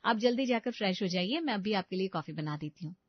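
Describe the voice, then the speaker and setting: A woman's voice: medium pitch, lively intonation, fast, dull audio, close to an everyday voice. A woman voicing a devoted, cheerful young daughter-in-law in a story, polite, reassuring and eager to please.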